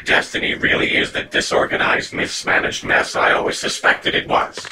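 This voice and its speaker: An actor playing a skeptical, sarcastic alien caller who argues with scornful disbelief in comic sci-fi audio theatre. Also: male voice, moderate pace, over a noisy crowd, natural voice. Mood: incredulous, scornful. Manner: incredulous, argumentative.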